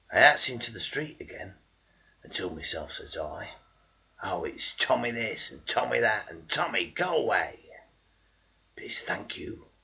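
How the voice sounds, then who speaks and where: A man reciting dramatic verse as an aggrieved, bitterly sarcastic Victorian British soldier, theatrical, with a husky, gravelly voice. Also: deep voice, highs cut off, exaggerated voice. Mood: indignant. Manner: theatrical, swinging from indignant shouts to mocking whispers.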